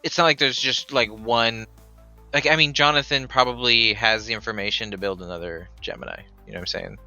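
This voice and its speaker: A man's voice: mid-range voice, fairly quick, pushed and bright, faint music behind. A man playing a tabletop game, thinking aloud in a hedging way. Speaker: player.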